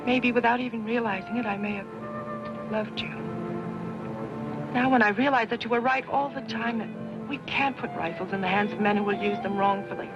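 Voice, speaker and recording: Actress as proud earnest american frontier woman, highish voice, impassioned, dull old western film sound, music. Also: fairly even intonation, lightly acted. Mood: impassioned, reproachful. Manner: measured, impassioned speechmaking.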